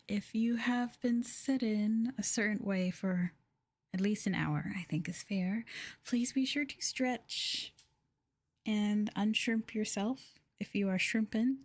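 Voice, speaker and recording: A woman hosting an ASMR livestream, chatting casually and gently in a soft, breathy voice that often drops into a whisper, close to the mic. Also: on the high side. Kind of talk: soft-spoken ASMR casual chat with viewers. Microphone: close ASMR microphone.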